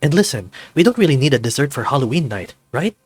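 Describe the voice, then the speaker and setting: A man's voice: medium pitch, sing-song, fast, slightly rough, faint music behind, pitched above the performer's own voice. A man voicing an easygoing husband in a visual novel, soothing and casually upbeat as he reassures his partner. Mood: comforting.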